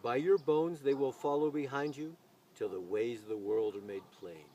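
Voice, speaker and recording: A man hosting a poetry reading, speaking with deliberate, expressive phrasing. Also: medium pitch. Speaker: video channel host reading poetry aloud. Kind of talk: expressive poetry recitation with casual spoken introduction. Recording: distant mic, noise, birdsong ambience.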